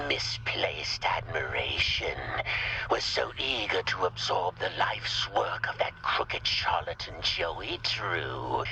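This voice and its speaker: An actor playing a scheming, covetous villain in a game audio log, speaking in a breathy whisper with slow, deliberate menace. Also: male voice, low voice, pushed and bright, full character voice, poor audio. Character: scheming, covetous male villain savoring his secret.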